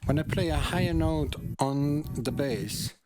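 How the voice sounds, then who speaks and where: A man demoing a synthesizer in a casual tutorial, talking hesitantly with many pauses and fillers. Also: heavy background noise. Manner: hesitant, many ums and uhs. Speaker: music tutorial host. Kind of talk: casual hands-on demonstration. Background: loud synthesizer and bass sounds.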